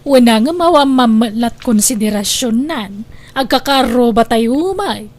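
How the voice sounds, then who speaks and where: Very high-voiced Ilocano village woman, outspoken, acted. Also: normal speed, natural voice. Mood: gossipy, disapproving. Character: defiant, gossipy village woman neighbour. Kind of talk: Ilocano comedy radio-style drama acting.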